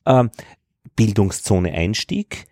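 A man with an Austrian accent in a casual, reflective discussion, speaking haltingly and often searching for his words. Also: fairly low pitch, expressive, darkish tone, no echo, studio quality. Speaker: teacher at an evening school, podcast host.

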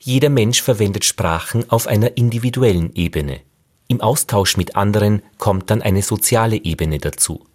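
Measured male radio narrator. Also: on the low side, darkish tone. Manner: measured, posing questions. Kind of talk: scripted narration.

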